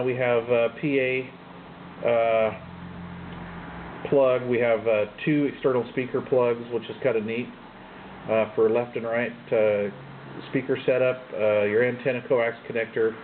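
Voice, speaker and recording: A man giving a casual, unscripted walkthrough of his hobby gear, halting often and tossing in offhand asides. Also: mid-range voice. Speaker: CB radio hobbyist presenting his own base station. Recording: muffled phone-like sound, loud background noise.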